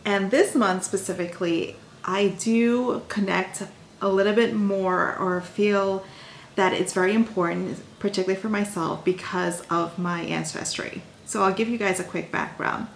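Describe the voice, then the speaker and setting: Gravelly-voiced upbeat woman in an echoey room. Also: medium pitch, fairly even intonation, normal speed, a little hiss or hum. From Guyana. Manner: upbeat, chatty, with fillers. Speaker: host of own channel. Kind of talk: casual monologue to viewers.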